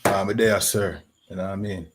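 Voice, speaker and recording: A man's voice: sits low, steady tone, normal speed, heavy background noise. A man with a Jamaican accent hosting a podcast in a casual talk-show style, often saying "you know what I mean". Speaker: podcast host.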